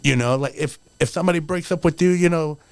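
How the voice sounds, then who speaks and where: A man's voice: on the high side, quick pace, darkish tone, smooth, no echo. A man in his forties, a wrestler guest on a podcast, talking in an earnest, motivational way with lots of "you know". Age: 40s. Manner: earnest, motivational, rambling with many fillers. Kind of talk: casual podcast interview.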